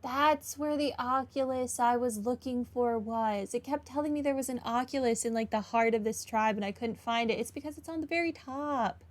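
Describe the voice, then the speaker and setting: A woman's voice: on the high side, moderate pace, few pauses. A woman giving casual, offhand commentary as she plays through a video game. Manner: wry, offhand. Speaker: gamer doing a playthrough. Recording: game music behind the voice.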